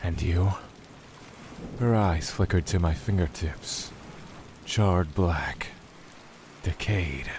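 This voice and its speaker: An actor playing a brooding lord of a dark void realm in an audio drama, speaking in a measured, solemn way. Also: male voice, low-pitched. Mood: guarded. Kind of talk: audio drama first-person narration and dialogue. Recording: faint noise under rain and thunder. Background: rain and thunder ambience.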